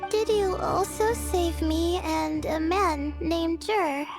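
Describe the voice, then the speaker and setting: A woman's voice: very high-pitched, slow pace, over music, heavily acted. An actress playing a timid, polite young student girl in a video game, with a hesitant, questioning manner.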